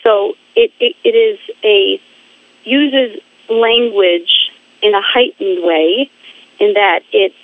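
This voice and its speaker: A woman speaking as a guest in a phone interview, halting and repeating words while she searches for her phrasing. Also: mid-range voice, dry recording. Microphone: phone line. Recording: tinny phone line.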